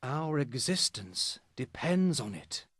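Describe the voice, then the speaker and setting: An actor playing a solemn, scholarly male elf in a fantasy video game, speaking formally and with measured gravity. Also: mid-range voice, very animated, fairly bright tone, breathy edge, no echo, exaggerated voice. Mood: grave warning.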